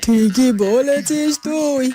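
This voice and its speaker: A domineering house mistress, high-pitched and slow, accusing with threatening drawn-out words. It is cartoon acting with faint music behind. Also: female voice, mellow. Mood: menacing.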